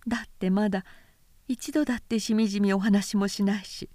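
A woman narrator voicing a proud, high-strung samurai wife who presses and pleads in polite, urgent speech in an audiobook story. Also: fairly even intonation, moderate pace, warm tone, dry recording, studio quality, natural voice. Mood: insistent pleading.

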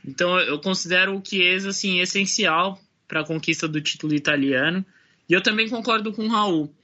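A man with a high, very bright voice weighs in on a casual panel. He speaks thoughtfully, stopping and restarting his sentences. The audio sounds dull and lo-fi, as if from a remote recording. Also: fairly quick. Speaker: podcast panelist. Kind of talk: casual sports discussion.

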